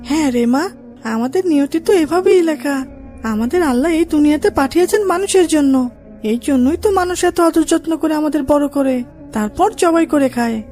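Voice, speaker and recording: A woman voicing a tender, resigned mother goat in a children's cartoon, consoling gently and sorrowfully. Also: high-pitched, normal speed, faint music behind, natural voice. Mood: sorrowful resignation.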